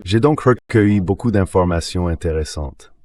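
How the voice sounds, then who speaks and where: Male commentator, notably low voice, translated synthetic read of conversational political analysis. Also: normal speed, darkish tone. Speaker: guest commentator.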